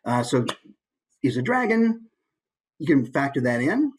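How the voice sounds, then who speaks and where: A man's voice: mid-range voice, big pitch swings, unhurried. A man who works as a voice actor, giving a casual, conversational instructional presentation with easygoing asides.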